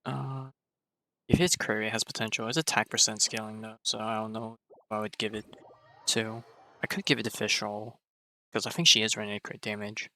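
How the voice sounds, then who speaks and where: A man's voice: fairly low pitch, level tone, moderate pace, pushed and bright, dry recording. A man giving casual live commentary as a game streamer, rambling and thinking aloud. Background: faint game music.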